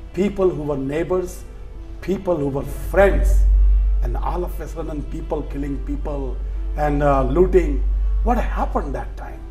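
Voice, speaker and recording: A man hosting a TV interview, speaking earnestly and deliberately, with pauses and fillers. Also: on the high side, darkish tone. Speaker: host.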